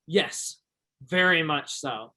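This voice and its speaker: A man's voice: on the high side, expressive, takes it slowly, pushed and bright, full character voice. Man playing an earnest young backwoodsman. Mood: keen. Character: earnest, shy young Alaskan backwoodsman who loves Shakespeare. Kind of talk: one-act stage play acting.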